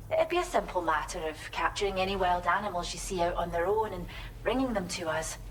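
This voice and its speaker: An actress playing a friendly, grateful wildlife sanctuary researcher in a video game, explaining things gently and colloquially. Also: female voice, lowish voice, a little hiss or hum, close to an everyday voice, poor audio. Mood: warm, grateful. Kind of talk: video game character dialogue.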